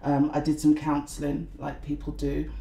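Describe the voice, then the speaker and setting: A middle-aged woman talks as an interview guest, earnest and reflective. Her voice is gravelly and mellow. The room around her sounds echoey. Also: low-pitched, completely flat delivery, moderate pace. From London, England. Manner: earnest, frequent "you know" fillers. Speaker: guest, blogger and conference organiser.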